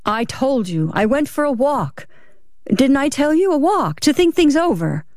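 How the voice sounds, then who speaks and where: A woman's voice: on the high side, lively intonation, moderate pace, darkish tone, dry recording, studio quality. Casting: woman playing a nervous husband in an audiobook, guilty and placating, choosing words carefully and faltering. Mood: panicky, evasive. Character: nervous, evasive husband lying to his suspicious wife.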